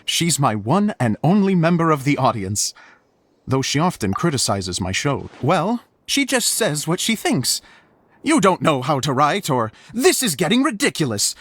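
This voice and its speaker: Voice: mid-range voice, sing-song, moderate pace, a bit bright, husky, no room sound. An actor playing an earnest, dramatic amateur stage director, humble and slightly flustered, in an anime video game. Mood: wistful, grateful. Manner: theatrical, earnest, hesitant when embarrassed.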